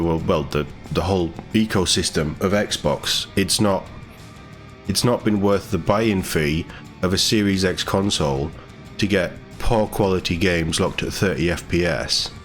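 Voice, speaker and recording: A British man presenting gaming news in a casual, sardonic way, mixing read-out text with his own commentary. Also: deep voice. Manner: sardonic, sweary asides. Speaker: gaming YouTuber.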